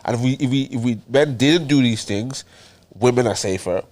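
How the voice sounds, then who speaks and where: A man's voice: medium pitch, normal speed, a little mellow. A man co-hosting a podcast who talks earnestly in a casual chat and often repeats words while he thinks aloud. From Ireland. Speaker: podcast co-host.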